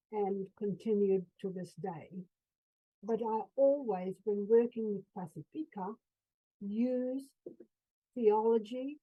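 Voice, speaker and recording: An older woman from Fiji, an author and psychotherapist, speaking in an earnest, measured way in a radio interview. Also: fairly high pitch, narrow, tinny audio.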